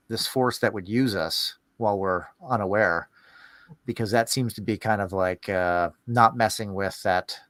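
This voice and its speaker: A man hosting a podcast in casual, rambling talk, musing aloud in halting phrases. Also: lowish voice, a bit bright, no room sound. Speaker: podcast host.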